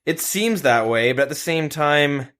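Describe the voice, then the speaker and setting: A young man hosting a podcast in a relaxed way, leading the talk and reading out news. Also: a little high, unhurried. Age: young adult. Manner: steady, runs the show and leads topics. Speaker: podcast host. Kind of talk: casual chat, reading news. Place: shared room, two mics.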